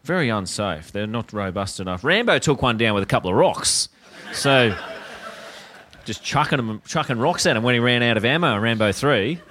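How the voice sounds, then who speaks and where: An Australian man co-hosting a comedy podcast live on stage, his delivery blunt, sweary and deadpan. His voice sits at an ordinary pitch for a man. A faint hiss of noise lies under the recording. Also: moderate pace. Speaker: podcast co-host. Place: live show in front of an audience.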